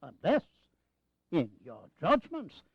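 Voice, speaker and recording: Slow, high-voiced elderly statesman. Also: wildly swooping pitch, husky, no echo, highs cut off, full character voice. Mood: amused. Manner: deliberate, sardonic, chuckling. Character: elderly, wry, radical American statesman. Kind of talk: audio drama acting.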